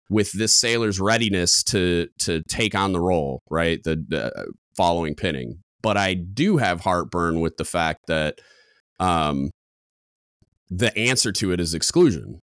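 Deep booming man, dry close mic. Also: no echo. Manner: loud, comes on strong, many restarts and fillers. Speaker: podcast co-host. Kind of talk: casual discussion.

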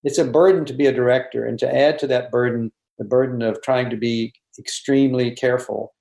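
A man, a guest director on a video call, talking casually, halting and repeating words with many fillers. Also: medium pitch, steady tone, gravelly, a little mellow, roomy sound, low-quality recording.

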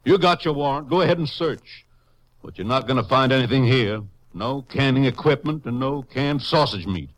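An actor plays an evasive small-town hardware man in vintage western radio drama. He is curt and challenging, swinging widely in pitch around a middle register as he throws sarcasm back. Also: animated, normal speed, close to an everyday voice, low-quality recording. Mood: defiant.

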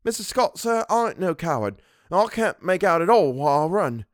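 A man's voice: sits high, very animated, normal speed, dry recording, clean studio sound, voice pushed up. A man voicing a young Cockney servant in a story, deferential and colloquial, by turns sheepish and indignant. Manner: colloquial, deferential, full of sirs.

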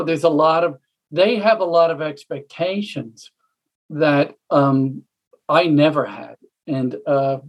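Middle-aged woman panelist, husky, thoughtful, halting delivery. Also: low voice, darkish tone, low-quality recording. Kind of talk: panel discussion answers.

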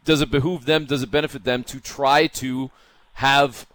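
A man's voice: mid-range voice, steady tone, fairly bright tone, no room sound. Male sports interviewer, mid-range voice, dry studio-like sound, builds questions step by step. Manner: deliberate, rephrases questions in stages. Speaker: podcast co-host.

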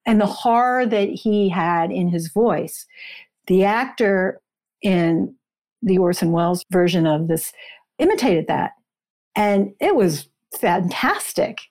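Slow-paced woman explaining as interview guest. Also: medium pitch, animated, a little mellow. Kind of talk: conversational explanation and storytelling.

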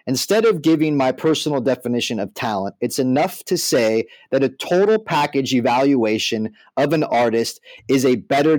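A man's voice: mid-range voice, a little mellow, dry recording, poor audio. A man co-hosting a podcast, explaining things conversationally and often checking that the listener follows. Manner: explanatory, checks in with "right?" and "you know". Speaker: podcast co-host. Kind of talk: casual discussion.

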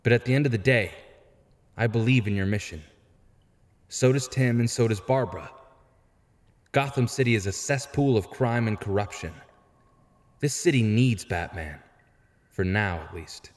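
An actor playing a frank, principled younger vigilante in an audio drama, earnest and articulate. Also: male voice, on the low side, moderate pace, long pauses, lightly acted. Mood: frank, probing. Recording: long hall reverb.

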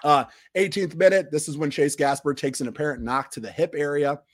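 A man hosting a casual livestream, talking through a soccer match with a voice that is still hoarse and recovering, rambling and restarting his sentences. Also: on the high side, steady tone, brisk. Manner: rambling, restarts often, reads viewer questions. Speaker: livestream host.

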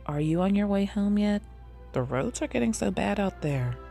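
A woman reader plays a middle-aged mother in an audiobook. She is chatty and nagging, with blunt motherly insistence. Her voice is pitched up and sits over faint music. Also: animated, moderate pace, vocal fry, warm tone. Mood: chatty, fussing. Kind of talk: audiobook character voice.

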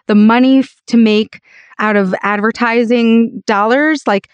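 An American woman with a raspy voice, telling personal stories emphatically and conversationally as an interview guest. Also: a little high, fairly even intonation, unhurried, no room sound, lo-fi sound. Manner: emphatic, peppers talk with "like" and "you know". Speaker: interview guest, actor and voice-over artist.

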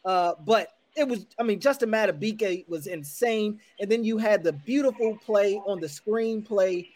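A man's voice: sits high, normal speed, darkish tone. A man who hosts sports radio and covers a team beat, talking through a game in casual chat and stressing points by repeating them. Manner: emphatic, repeats key points.

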